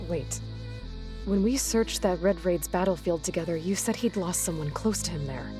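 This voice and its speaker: A woman's voice: on the low side, moderate pace, warm tone, lightly acted. An actress playing a blunt, direct young female hunter in a video game cutscene. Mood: blunt, probing. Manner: blunt, probing questions. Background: game music score.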